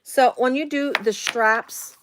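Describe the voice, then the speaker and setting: A woman's voice: a little high, slow, background noise. Chatty woman demonstrating sewing. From Midwestern US. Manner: chatty and rambling, drifting into side stories with many fillers. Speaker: sewing tutorial host. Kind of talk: casual live sewing demonstration.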